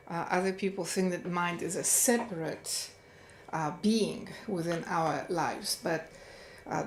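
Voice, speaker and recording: Slow, thoughtful woman in an echoey room. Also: on the low side, slight background noise. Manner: slow and thoughtful, with frequent pauses and fillers. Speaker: host. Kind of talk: reflective monologue.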